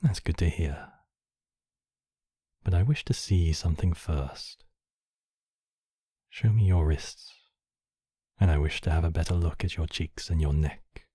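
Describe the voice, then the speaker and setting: A man voicing a courtly, possessive demon king, menacing yet tenderly protective, in slow, intimate ASMR roleplay with formal, measured phrasing. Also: deep voice, dark tone, breathy edge, dry recording, studio quality. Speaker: voice actor in a roleplay. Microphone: close microphone, dry.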